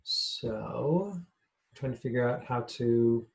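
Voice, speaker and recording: A man hosting an online class, talking casually through a live demonstration in a hesitant, halting way, repeating himself. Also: mid-range voice, expressive, creaky. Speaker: class host and acting coach.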